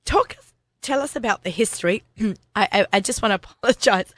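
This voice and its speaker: A woman hosting a radio show, speaking in a hoarse voice with a bubbly, effusive manner and frequent throat clearing, recorded in a studio. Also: medium pitch, fairly quick, no echo. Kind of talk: casual radio chat.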